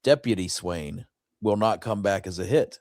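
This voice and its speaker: A man's voice: deep voice, normal speed, a crowd in the background. A man hosting a casual talk show, speaking in an informal conversational way. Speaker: show host. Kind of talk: casual chat.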